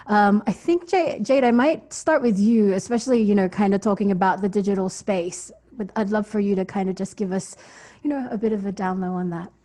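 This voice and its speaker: A woman's voice: mid-range voice, brisk, mellow. A woman raised in Australia, moderating an online music industry panel in a casual way with frequent ums. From Philippines, raised in Australia. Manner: casual, hesitant with many ums, introduces and hands off to guests.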